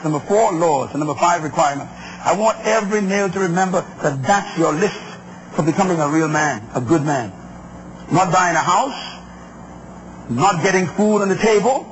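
High-pitched male preacher, very noisy recording. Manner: emphatic, declamatory, with short repeated phrases and call-and-response questions. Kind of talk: impassioned sermon to a congregation. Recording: very noisy live speech recording.

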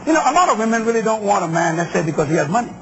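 A male preacher with a high-pitched voice delivers an impassioned sermon in a declamatory style. He drives points home in short, repeated phrases and puts questions to his congregation. The live recording is heavily covered in noise. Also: sing-song, racing, gravelly. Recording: very noisy live speech recording.

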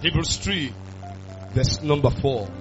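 A man, preaching a sermon with loud bursts of emphasis. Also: a little high, slow. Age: 20s. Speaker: pastor. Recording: dull lo-fi sound with music.

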